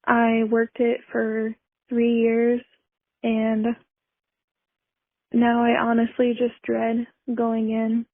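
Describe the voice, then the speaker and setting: Slow, creaky-voiced woman on the phone. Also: on the high side, monotone, dry recording. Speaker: caller. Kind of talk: casual confessional voicemail. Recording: dry, narrow-band phone voicemail.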